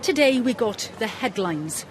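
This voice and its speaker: Measured woman news reporter. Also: a little high, animated, some chatter behind, slight background noise. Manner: measured, clear delivery.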